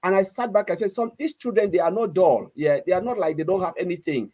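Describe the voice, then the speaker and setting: Higher-pitched Cameroonian-accented man. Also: fast talker, darkish tone. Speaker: panelist. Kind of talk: casual, unscripted discussion.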